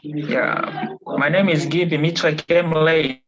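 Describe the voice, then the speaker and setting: Hesitant Congolese student on a muffled call. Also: male voice, a little high, steady tone, takes it slowly, a crowd in the background. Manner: hesitant, many fillers. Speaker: attendee, student. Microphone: video call. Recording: dull video-call audio.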